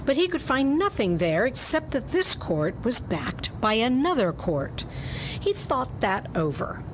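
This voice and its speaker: A woman's voice: on the high side, very animated, a little slow, noisy background. A woman narrating a pulp detective story as a dramatic reading.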